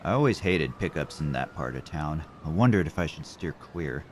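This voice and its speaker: An actor playing a nervous, absent-minded taxi driver in an audio drama, hesitant and given to thinking aloud. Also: male voice, very deep voice, expressive, moderate pace, a little hiss or hum. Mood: uneasy. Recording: vehicle ambience behind the voice.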